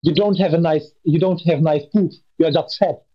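A man's voice: a little high, normal speed. A twenty-year-old man guesting on a podcast call, blunt and teasing. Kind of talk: casual chat. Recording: dull lo-fi mic.

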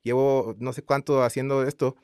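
A man in his thirties from Guatemala with a Guatemalan Spanish accent, chatting as an interview guest in a relaxed, rambling way full of fillers. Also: medium pitch, moderate pace, clear, resonant tone, dry recording, clean studio sound. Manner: relaxed rambling with many fillers and repeated tags. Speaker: guest singer. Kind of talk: casual interview chat.

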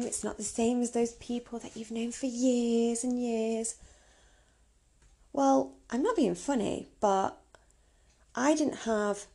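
Creaky-voiced woman around thirty, chatty and candid. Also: mid-range voice, dark tone. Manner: candid, chatty, poses rhetorical questions. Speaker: podcast host. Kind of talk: informal solo advice talk.

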